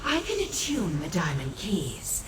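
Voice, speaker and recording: A woman's voice: fairly low pitch, big pitch swings, a little slow. A woman voicing an imperious, cunning godlike demon prince in a fantasy game, haughty and calculating. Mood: haughty intrigue. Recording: distant echoing voice, loud background noise.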